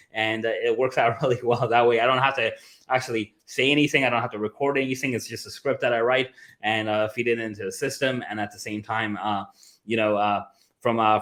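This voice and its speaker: A man's voice: mid-range voice, a bit bright. A man chatting casually about security as a co-host, full of "you know" and "like" fillers. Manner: filler-heavy, says "you know" and "like" a lot. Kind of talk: casual tech chat.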